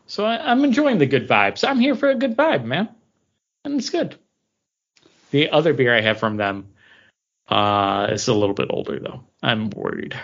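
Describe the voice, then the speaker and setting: Animated male podcast co-host, casual. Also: mid-range voice, moderate pace, muffled recording. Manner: animated storytelling, acting out dialogue. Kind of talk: casual banter and anecdotes.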